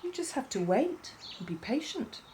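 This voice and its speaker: A woman voicing a loyal young male bunny in a children's storybook, earnest, reassuring and gently chiding. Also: sits high, sing-song, normal speed, slight background noise, pitched above the performer's own voice. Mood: patient, chiding. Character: loyal, patient young bunny, son of a rabbit. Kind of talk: children's storybook character reading.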